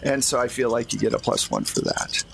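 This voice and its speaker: A man's voice: mid-range voice, huge pitch swings, brisk, vocal fry, background noise. A man acting as game master, improvising narration for a tabletop role-playing game in a conversational, explanatory way.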